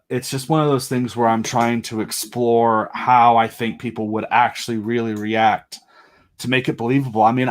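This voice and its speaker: Rambling, jokey man in casual chat. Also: fairly low pitch. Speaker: guest, creator of a giant monster audio drama. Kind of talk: casual enthusiastic interview chat.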